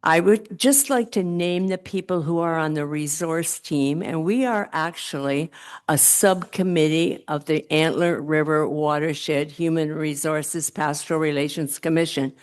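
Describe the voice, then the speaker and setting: A woman speaking loudly to a church council meeting in a meeting room, chatty and given to joking asides. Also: low voice, a little slow. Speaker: committee presenter.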